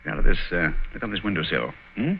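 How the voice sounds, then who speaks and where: A commanding English male detective, confident and authoritative. The low voice swings widely in pitch and moves at a quick clip, on a muffled, hissy old radio drama recording. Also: expressive, gravelly. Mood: urgent, hushed. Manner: authoritative, urging, clipped instructions. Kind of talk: vintage radio drama acting.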